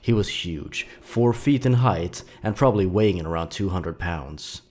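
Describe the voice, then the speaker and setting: Low-pitched man narrating horror stories. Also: animated, moderate pace, darkish tone. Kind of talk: scripted dramatic narration of horror fiction.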